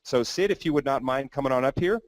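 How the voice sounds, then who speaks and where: A man's voice: medium pitch, quick pace, dry recording. A man hosting a business conference, introducing speakers in a loose, rambling way with some fillers. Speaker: event host.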